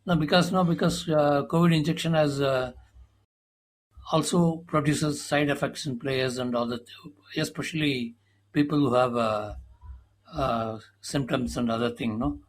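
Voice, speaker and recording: A man's voice: medium pitch, fairly even intonation, a little slow, a little hiss or hum, poor audio. A man with an Indian English accent, a sports journalist explaining at length, with many fillers. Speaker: senior sports journalist, guest. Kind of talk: conversational interview talk.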